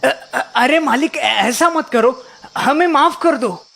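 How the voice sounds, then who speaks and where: A woman voicing a timid, servile ghost in an animated story, deferential and pleading. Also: high-pitched, measured pace, a little hiss or hum. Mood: frightened, pleading. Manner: deferential, pleading, stammering when scared. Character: timid, servile ghost offering magic gifts. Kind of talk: animated moral story acting.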